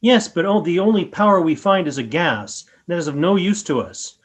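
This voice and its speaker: A woman in a radio play voicing a solemn, courteous alien who speaks in a measured, formal way through a robot. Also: fairly low pitch, big pitch swings. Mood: grave.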